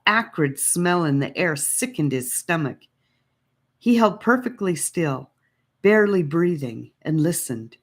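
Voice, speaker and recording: Woman reading a fantasy audiobook, pretty low voice, dramatic storytelling. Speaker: audiobook narrator.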